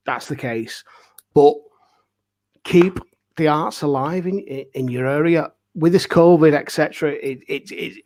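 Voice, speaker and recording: A man's voice: medium pitch, darkish tone. A man of forty-five speaking casually as a panel guest, earnest and emphatic, often repeating himself for stress. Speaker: pantomime dame and amateur theatre director.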